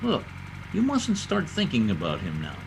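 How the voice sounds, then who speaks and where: A man's voice: medium pitch, huge pitch swings, normal speed, noisy background. A man playing an earnest, protective state trooper in an old time radio drama, dramatic and reassuring, recorded over a video call.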